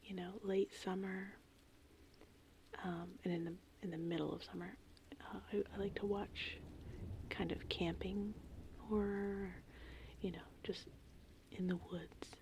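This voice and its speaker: A woman whispering in a casual, meandering, unhurried ASMR ramble, with a breathy, creaky voice. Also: mid-range voice, noisy background. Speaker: ASMR host. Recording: steady rain and thunderstorm ambience. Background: gentle rain and thunder.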